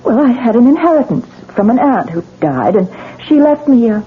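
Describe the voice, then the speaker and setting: A woman's voice: on the high side, big pitch swings, moderate pace, slightly rough, full character voice. A woman playing a newlywed wife who insists to a doctor and a police lieutenant that she is sane. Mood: guarded. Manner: tense, sharp, pushes back. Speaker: Mrs. Renard, patient in hospital. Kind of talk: 1970s radio drama acting. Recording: tinny lo-fi 1970s radio broadcast with hiss.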